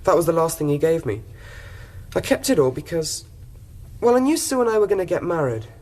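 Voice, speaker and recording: A man's voice: on the high side, expressive, brisk, darkish tone. A man playing a naive, earnest young student in a radio drama, speaking in a plain, artless way. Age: young adult.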